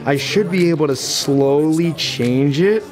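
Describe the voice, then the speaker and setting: A man's voice: on the high side, a little slow. Man doing magic patter. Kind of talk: casual patter while doing a trick. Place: indoors in a magic shop. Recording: music playing behind.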